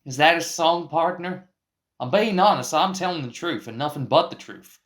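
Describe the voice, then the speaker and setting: A man voicing a folksy, cocky young Texan with an excitable manner and a Texan accent, in a radio play. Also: on the high side, moderate pace. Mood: folksy, defensive.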